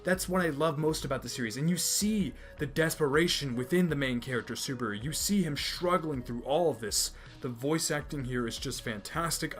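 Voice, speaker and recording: A man's voice: medium pitch, fairly bright tone. A young man from America hosting an anime review video, emphatic and opinionated, often repeating his points. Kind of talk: enthusiastic review commentary.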